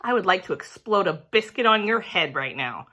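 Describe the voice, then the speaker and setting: A woman's voice: mid-range voice, lively intonation, normal speed, pushed and bright. A woman voicing a cheeky, earnest little schoolgirl from a storybook, blunt and emphatic in a childlike way. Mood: angry.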